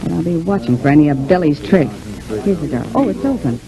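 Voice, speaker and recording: A woman's voice: low-pitched, lively intonation, quick pace, over music. An actress playing a seasoned, watchful, motherly showgirl in a 1940s radio serial. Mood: calm, wary. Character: Myrtle, seasoned showgirl, calm, watchful, motherly to younger colleague. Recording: noisy old 1940s radio recording.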